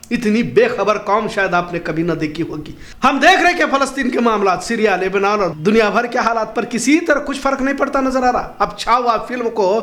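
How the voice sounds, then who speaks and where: An echoey recording of a man with a strikingly high, bright voice delivering news commentary. He speaks emphatically and earnestly, leaning on rhetorical phrasing as he argues his points. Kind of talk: opinionated news commentary monologue.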